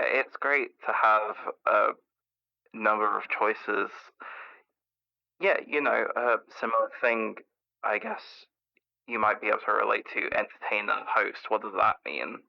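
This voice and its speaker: Through a dull lo-fi phone filter comes a man playing an anxious male scientist calling into a radio drama. His lowish, gravelly voice rambles nervously and repeats itself, full of self-doubt. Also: measured pace. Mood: anxious, rambling. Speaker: radio caller. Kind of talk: improvised call-in radio acting. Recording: muffled lo-fi caller line.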